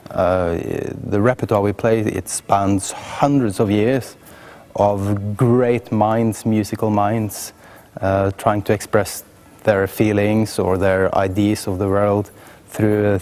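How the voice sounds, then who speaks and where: A man's voice: on the low side, dark tone. A middle-aged man from Oslo with a Norwegian accent, talking reflectively in an interview in a hesitant, thoughtful way. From Oslo, Norway. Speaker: orchestral cellist.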